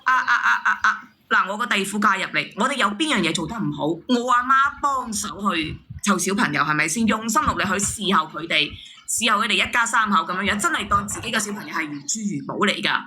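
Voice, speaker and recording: A woman caller airing a family grievance, repeating her words haltingly as she argues. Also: mid-range voice, lively intonation, sharp tone, slight background noise. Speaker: caller, aggrieved sister-in-law. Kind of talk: airing a family dispute on a call-in show.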